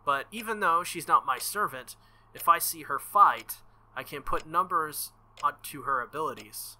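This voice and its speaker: A man with a bright voice reading visual novel narration aloud as a gaming host. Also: a little high, moderate pace.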